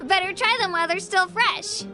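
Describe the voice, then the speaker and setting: A woman's voice: very high voice, normal speed. A woman voicing an earnest, emotional young girl adventurer, determined but easily moved, in a video game. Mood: brightly reassuring. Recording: music behind the voice. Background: music.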